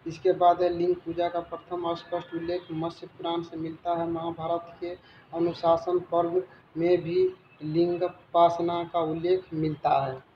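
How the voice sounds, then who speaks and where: A man teaching exam questions, reading questions and answers aloud in a halting way with stumbles and repetitions. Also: fairly high pitch, monotone. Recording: dull, roomy recording with background noise.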